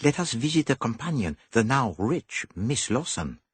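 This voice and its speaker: A man's voice: mid-range voice, big pitch swings, moderate pace, slightly rough, no room sound, voice pushed up. A man voicing a fussy, silky detective with a put-on French accent. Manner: precise, silky, probing.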